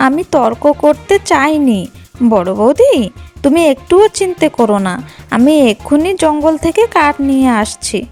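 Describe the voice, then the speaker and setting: A woman playing a sweet, obedient young sister in a cartoon fairy tale, gentle and apologetic. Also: high voice, big pitch swings, moderate pace. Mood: meek, apologetic. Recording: music behind the voice.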